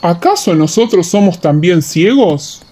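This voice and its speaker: Lady doing angry accusing elders for bible radio play, emphatic, slow, swoopy pitch. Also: animated, dark tone. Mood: indignant. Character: indignant, accusing crowd of Judean elders. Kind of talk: dramatized audiobook acting.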